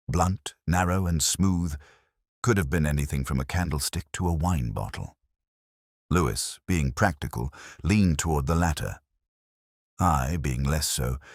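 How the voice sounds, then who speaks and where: An actor playing a melancholy, intellectual English detective who narrates his case in measured, literary first person, as in a radio mystery. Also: very deep voice, no room sound, clean studio sound, full character voice. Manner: measured, brooding, ornate phrasing.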